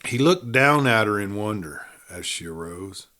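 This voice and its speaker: A man narrating a scripted western story in a measured, dramatic manner. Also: lowish voice, expressive, bright, forceful tone. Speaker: audiobook narrator.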